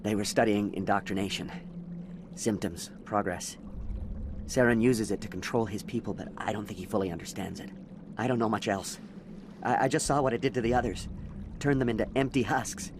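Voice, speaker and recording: An actor playing a traumatised captured alien soldier in a video game, intense and clipped. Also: male voice, on the low side, fairly quick, noisy background, lightly acted. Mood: haunted, grim. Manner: intense, haunted, clipped military speech. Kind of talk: video game dialogue acting. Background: game score music.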